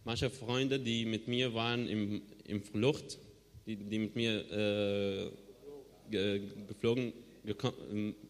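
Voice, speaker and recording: A man interpreting a testimony, halting and full of hesitations and restarts. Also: on the low side, little pitch movement, clear, resonant tone. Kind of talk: consecutive interpreting. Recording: long church reverb.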